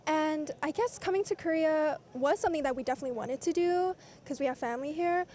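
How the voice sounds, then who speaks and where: A woman's voice: high-pitched, darkish tone. A young woman in her late teens from South Africa, chatting casually and laughing often in a street interview. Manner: chatty, laughs often, fast upbeat talk.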